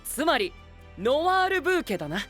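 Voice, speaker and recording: A man's voice: extremely high pitch, very animated, fairly quick, fairly bright tone, faint music behind, full character voice. An actor playing a suave, flamboyant, princely young male knight who drops English exclamations, in an anime-style voice drama. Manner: theatrical, sprinkles English exclamations into speech.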